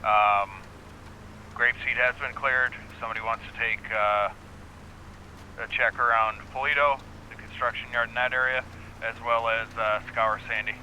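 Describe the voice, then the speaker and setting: A man making businesslike police radio calls in roleplay, pausing as he goes. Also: medium pitch, steady tone, sharp tone, poor audio. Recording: radio chatter over faint game noise.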